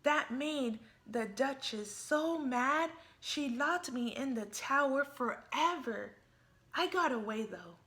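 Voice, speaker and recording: Storybook read-aloud: a make-believe little fairy girl, voiced by a woman, high and bright, slow and lively. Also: exaggerated voice. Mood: playful. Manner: lively storybook reading with playful emphasis. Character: imaginative little girl who thinks she's a fairy. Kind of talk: reading a picture book aloud.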